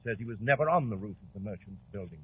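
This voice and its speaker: Blustery barrister in a 40s radio drama, very husky, puffed up, muffled old-radio sound. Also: male voice, lowish voice, expressive, quick pace. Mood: gloomy, rhetorical. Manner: pompous, rhetorical, blustering. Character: pompous male defence barrister, secretly guilty, indignant. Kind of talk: 1940s radio drama acting.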